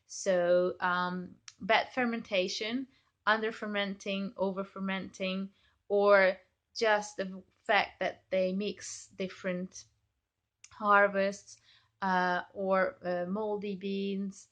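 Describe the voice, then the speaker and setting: A young woman whose first language is Hungarian explains things at an unhurried, slow pace. She talks in a thoughtful way, full of ums and small self-corrections. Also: medium pitch, little pitch movement, a bit bright, dull audio. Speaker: chocolate maker. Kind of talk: informal explainer video.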